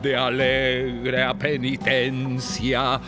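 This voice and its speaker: A man solemnly reciting verse in a radio play, slowly and evenly. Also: mid-range voice, monotone, music in the background, lightly acted.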